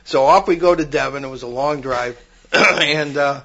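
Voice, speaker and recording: A man's voice: fairly high pitch, normal speed, fairly bright tone, lo-fi sound. An older man co-hosting a paranormal podcast, telling long stories with frequent restarts in casual chat. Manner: rambling, many restarts, storytelling.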